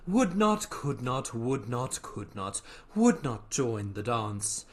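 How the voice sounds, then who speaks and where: A solemn old turtle creature from a storybook, acted out by a reader. The delivery is very slow and theatrical, rising and falling widely with a mournful, nostalgic weight. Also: medium pitch, sing-song. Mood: slow, mournful singsong. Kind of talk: theatrical storybook reading with slow sung verse.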